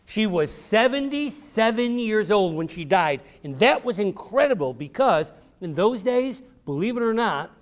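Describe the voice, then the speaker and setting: A man's voice: high voice, big pitch swings, a little slow, hardly any pauses. An American man, an author, giving an encouraging, theatrical storytelling talk to children in simple, emphatic phrases. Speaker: author and speaker. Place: public library event before children.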